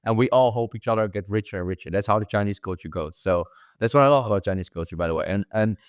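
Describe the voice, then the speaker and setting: Fast-talking young man hosting a podcast. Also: fairly low pitch, clean tone, no room sound, clean studio sound. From Netherlands. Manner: loose, repeats words, says bro a lot. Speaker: podcast host. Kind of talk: casual interview chat.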